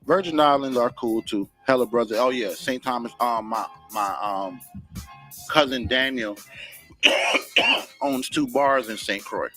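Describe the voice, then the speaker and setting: Male radio co-host, bright forceful voice, loose profane chatter, faint music bed. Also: medium pitch, measured pace, rough recording. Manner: loose, profane, rambling with restarts. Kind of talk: casual chat. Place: radio studio. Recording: radio broadcast with music behind.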